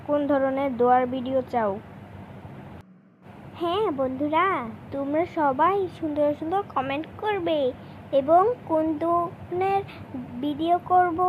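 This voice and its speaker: A woman's voice: high-pitched, narrow, tinny audio, a little hiss or hum. A woman presenting a children's cartoon channel, speaking casually and directly to her viewers.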